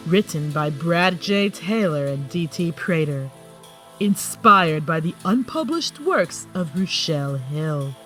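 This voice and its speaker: Slow, expressive announcer over music. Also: medium pitch. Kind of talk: scripted credits narration.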